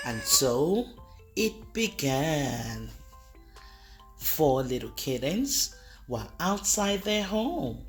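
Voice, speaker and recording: Woman, children's storyteller, slow, lively pitch, low-ish voice, soft music behind. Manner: slow, expressive, addresses kids directly. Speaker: children's book reader. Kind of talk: read-aloud storytelling for kids.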